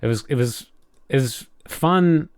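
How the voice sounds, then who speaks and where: A man co-hosting a podcast, telling a personal anecdote in casual, halting speech with repeated words and an enthusiastic manner. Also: medium pitch, a little mellow, smooth, no echo, studio quality. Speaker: podcast co-host.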